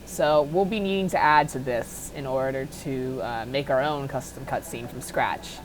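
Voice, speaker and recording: A woman's voice: rumbling low, moderate pace, slight background noise, poor audio. A woman giving an informal, unscripted tutorial, talking offhandedly and thinking aloud as she goes. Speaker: tutorial host. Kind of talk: casual unscripted software modding tutorial.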